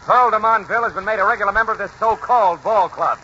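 A gruff, sardonic team manager in a vintage radio play. He talks fast in a fairly high, hard-edged voice, curt and stubborn. The sound is muffled and hissy. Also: sharp tone. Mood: defiant. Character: gruff, sarcastic, stubborn baseball club manager. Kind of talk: 1940s radio acting.

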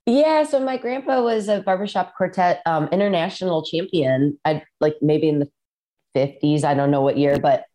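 A woman's voice: lowish voice, moderate pace, dark tone. A woman from the Midwest, a musician guest, talking casually over a video call and telling stories with lots of "like"s. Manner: rambling, filler-heavy storytelling with many "like"s. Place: farmhouse in rural Iowa.

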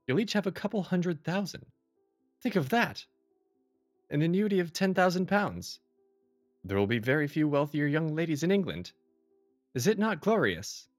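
Victorian doctor, male actor, devoted and effusive, lively swooping higher voice, audio drama with music underneath. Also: moderate pace, pauses often, close to an everyday voice. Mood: excited, eager. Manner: eager, effusive. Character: earnest Victorian gentleman doctor, excited, devoted.

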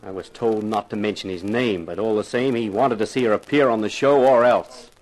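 A man's voice: fairly low pitch, brisk, a little mellow, close to an everyday voice, poor audio. A man acting as a sardonic scriptwriter in a 1950s radio drama, with a dry, mocking and cagey way of talking.

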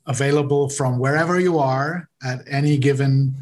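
A man's voice: mid-range voice, steady tone, moderate pace, a little mellow. Male podcast guest, native German speaker from Switzerland, explanatory, a few restarts. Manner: explanatory, uses garden metaphors, repeats words. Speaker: podcast guest, leadership coach. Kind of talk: interview conversation.

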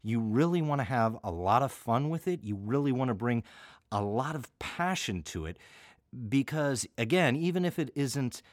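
A man's voice: mid-range voice, animated, normal speed, no room sound, clean studio sound. A middle-aged American man hosting a radio introduction in a chatty, wry, digressive conversational way. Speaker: artistic director of an audio theater, host.

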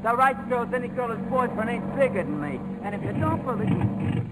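A man's voice: very high voice, lively intonation, moderate pace. An actor playing a brash, clowning airman sidekick, slangy and sarcastic, in a 1940s radio drama. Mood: wisecracking. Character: brash, wisecracking, girl-chasing airman sidekick. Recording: noisy old 1940s radio broadcast.

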